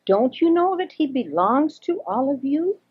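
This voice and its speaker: A woman's voice: high-pitched, big pitch swings, measured pace, voice pushed up. An older woman voicing a firm father of three boys in a storybook, on a homemade cassette. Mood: scolding, firm. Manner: scolding, firm. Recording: dull homemade 1980s cassette recording.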